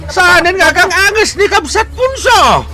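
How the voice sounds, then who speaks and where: A man acting an excitable, distraught village man in an Ilocano radio drama, exclaiming and wailing. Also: very high-pitched, normal speed, over music, crowd noise. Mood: shocked wailing.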